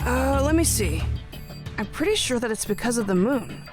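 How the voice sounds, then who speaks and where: A woman plays a confused anime game youngster with a high, animated voice. The speech is candid, hesitant and rambling, over faint music. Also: normal speed, lightly acted. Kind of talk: English anime game dub acting. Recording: music behind.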